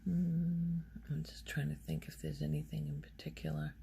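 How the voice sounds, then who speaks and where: Slow, soft-spoken woman with creaky voice. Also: deep voice, flat delivery, slight background noise. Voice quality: soft, breathy, often slipping toward a whisper. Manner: hesitant, musing, thinking aloud. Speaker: ASMR video creator. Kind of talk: soft-spoken ASMR ramble.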